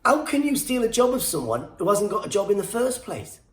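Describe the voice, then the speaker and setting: A man's voice: sits high, big pitch swings, fairly quick. Sarcastic iranian-born comic guy ranting, voice swings up and down, echoey room. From Iran. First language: Farsi. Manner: ranting, sarcastic, jumps into silly put-on voices. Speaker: stand-up comedian. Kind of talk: stand-up rant.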